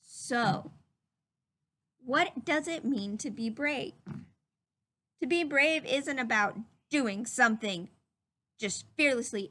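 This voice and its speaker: A woman reading a storybook aloud for children, expressive, with simple explanatory asides to young listeners. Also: on the high side.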